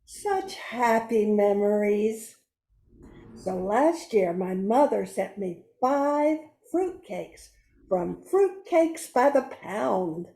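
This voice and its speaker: A mellow, very unhurried housewife acted by a woman for a comic radio testimonial. She is sentimental and deadpan. The sound is a roomy, echoey recording. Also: mid-range voice. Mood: fond, deadpan. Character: sentimental Southern-town housewife giving a deadpan fruitcake testimonial. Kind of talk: amateur radio comedy ad acting. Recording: echoey room.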